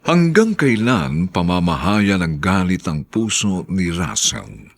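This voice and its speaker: Slow, gravelly radio drama announcer. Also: male voice, deep voice, big pitch swings. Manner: formal, announcing credits.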